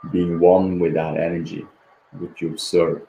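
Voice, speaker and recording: Guy doing slow guided meditation, really mellow, gentle n repetitive, bit of background noise. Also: low voice, level tone, lo-fi sound. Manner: gentle, repetitive, unhurried with long pauses. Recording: roomy echo, faint background noise.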